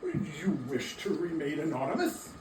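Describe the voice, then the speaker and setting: A courteous Victorian gentleman acted by a man, high-pitched and lively yet soft-toned. He speaks formally in a stage reading, at a distance from the microphone. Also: a little slow. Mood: courteous, genial. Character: polite, portly charity-collecting gentleman. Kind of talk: theatrical dramatic reading of Victorian story. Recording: distant echoey room, faint noise.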